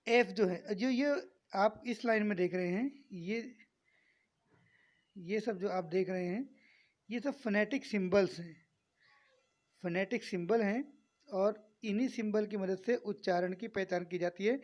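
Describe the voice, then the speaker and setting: Female English teacher, Indian accent, very slow delivery, deliberate enunciation, mid-range pitch. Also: darkish tone. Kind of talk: instructional lesson, explaining step by step.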